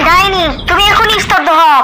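A woman voicing a stern but merciful nature goddess in an animated fairy tale, solemn and commanding. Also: very high-pitched, moderate pace, heavy background noise.

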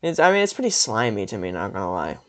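A man's voice: fairly low pitch, sing-song, fast talker, crowd noise. A young man co-hosting a casual podcast over an online call, talking in a joking, rambling way. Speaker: podcast co-host. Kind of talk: casual chat.

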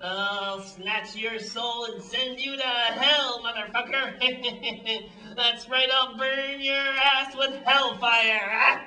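Puppet-comedy villain: high-pitched ranting boss, slow gloating delivery, bullying, recorded roomy and off-mic. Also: male voice, fairly even intonation, sharp tone, distant mic, heavily acted. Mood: gleefully menacing. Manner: theatrical, bullying, gleefully cackling.

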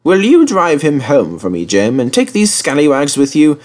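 Male reader as country vicar, genial, good-humoured, kindly, raised pitch, storybook character. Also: wildly swooping pitch, normal speed, darkish tone. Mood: pleased.

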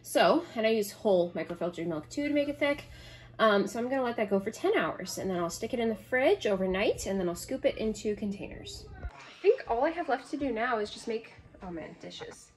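A woman's voice: on the high side, expressive, fairly quick. A woman in her thirties giving casual, rambling, offhand narration as she cooks in a home kitchen, recorded on a phone. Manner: rambling, offhand self-commentary. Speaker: home cooking vlogger. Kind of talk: casual chatty narration while cooking. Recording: clear background noise, kitchen clicks.

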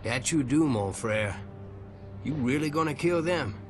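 An actor playing a cocky, taunting escaped prisoner with a Louisiana Cajun accent in a video game. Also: male voice, medium pitch, lively intonation, a little slow, close to an everyday voice. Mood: cocky, teasing. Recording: loud music over the voice.

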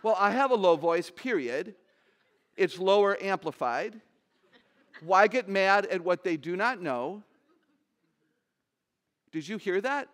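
Older lady with a really low voice telling funny stories at a church conference, big stage. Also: slow, pauses often. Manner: animated, humorous storytelling. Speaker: conference speaker. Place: large stage.

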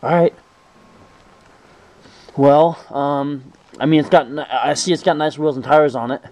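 A man's voice: on the high side, fairly even intonation, unhurried, a little mellow. A man making a casual phone call in a blunt, informal way. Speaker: prank caller.

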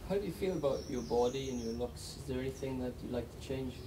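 A man's voice: mid-range voice, fast, background noise. A man interviewing a guest and asking short, direct questions. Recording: distant off-mic voice with music behind.

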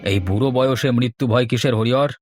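A man's voice: mid-range voice, brisk, soft background music, close to an everyday voice. A man voicing a stubborn old village headman in a horror cartoon. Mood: sceptical, stubborn.

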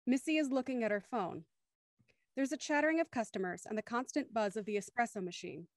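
A woman's voice: mid-range voice, no echo, clean studio sound. A woman hosting an online script reading and narrating scene directions. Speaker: host reading stage directions. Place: home, on a video call.

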